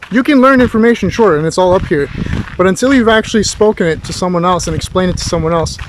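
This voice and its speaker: A man giving a rambling, reflective philosophical monologue like a tutor talking to himself, halting as he searches for words. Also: sits high, slight background noise, poor audio. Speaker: philosophy tutor and vlog host. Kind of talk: rambling, reflective monologue recorded while walking.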